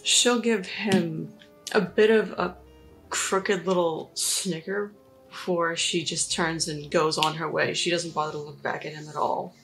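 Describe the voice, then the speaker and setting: A woman with a fairly low voice and noticeable fry takes part in a tabletop game. She narrates her character's movements with soft music behind her. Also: steady tone, unhurried, poor audio. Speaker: player in a tabletop role-play podcast.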